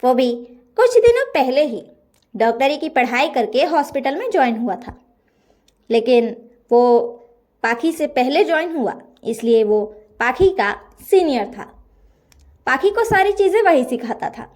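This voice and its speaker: A woman narrates a bedtime story in a high voice. Her pitch moves up and down in a lively, animated way as she reads the scripted tale. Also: unhurried.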